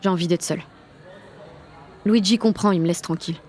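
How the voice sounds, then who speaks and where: A woman's voice: on the low side, brisk, darkish tone, lightly acted. A woman playing a rebellious, sardonic sixteen-year-old schoolgirl who tells her story in blunt, swearing slang in an audio drama. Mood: weary, bitter. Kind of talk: first-person audio drama narration in slangy speech.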